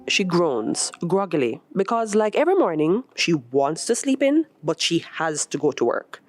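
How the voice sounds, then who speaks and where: A woman reading a short story as scripted narration, in an expressive, measured storytelling manner. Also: lowish voice, no room sound, faint music behind. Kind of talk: scripted narration of literary fiction.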